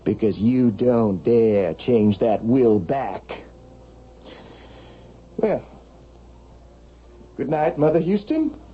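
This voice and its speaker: An actor playing a scheming, menacing fortune hunter in a radio drama, speaking in a measured, sardonic way. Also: male voice, medium pitch, expressive. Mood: cool menace. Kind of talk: 1970s radio drama acting. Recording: dull vintage radio recording, faint noise.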